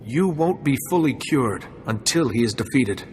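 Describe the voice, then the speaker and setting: Man as a calm, dutiful Jedi hero. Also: medium pitch, normal speed, slight background noise, natural voice. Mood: reassuring. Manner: calm, serious, formal. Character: dutiful, selfless young male Jedi hero. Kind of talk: video game voice acting.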